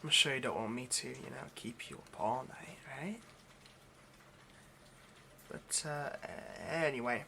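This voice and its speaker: A man's voice: medium pitch, measured pace, vocal fry, pauses often, pushed and bright, a little hiss or hum, full character voice. A man playing a nervous, obsessive vampire lab technician in an intimate ASMR roleplay, with hesitant, stammering, overly polite delivery. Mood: eager, flustered.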